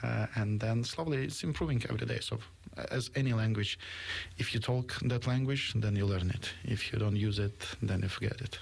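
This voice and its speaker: Husky, gravelly low-voiced man from Ukraine. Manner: halting, searching for words, earnest. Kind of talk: conversational interview.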